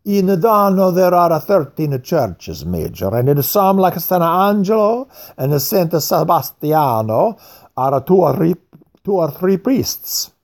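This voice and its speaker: A reader voicing a pompous eighty-two-year-old rich man with an Italian accent who speaks English slowly, carefully and formally. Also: medium pitch, very animated, darkish tone, pitched above the performer's own voice. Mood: measured. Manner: slow, careful, formal, bowing.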